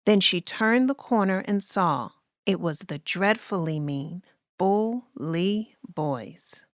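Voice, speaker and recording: A woman reading a rhyming children's story aloud in a deliberate, expressive way as its narrator. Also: fairly low pitch, no room sound, clean studio sound.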